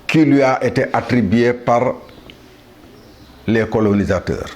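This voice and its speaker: A man in his seventies from Senegal, delivering a solemn monologue to the world in a measured, halting way with pauses and restarts. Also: medium pitch, darkish tone. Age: 70s. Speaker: speaker addressing the world.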